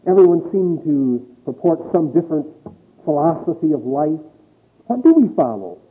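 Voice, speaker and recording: A man's voice: a little high, sing-song, moderate pace, husky. A man preaching a sermon with emphatic, rising and falling delivery, reading scripture aloud. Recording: tinny phone-like old recording.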